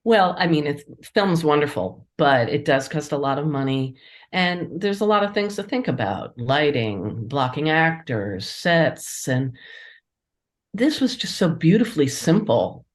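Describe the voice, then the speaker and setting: A woman with a distinctly low-pitched voice gives conversational answers in an interview. She speaks as a writer about her work, with an appreciative, enthusiastic manner. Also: big pitch swings, measured pace. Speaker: interviewed playwright and editor.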